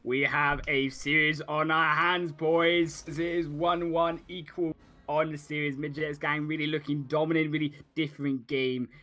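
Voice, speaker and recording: A man giving analytical esports color commentary, often pausing on fillers. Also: a little high, flat delivery, bright, forceful tone. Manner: analytical, halting with many fillers. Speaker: esports caster.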